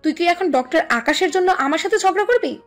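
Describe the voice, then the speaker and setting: A woman's voice: very high voice, lively intonation, fairly quick. A woman playing a touchy, teasing young sister in a dramatized audio love story. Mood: indignant, sulky. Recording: music under the voice.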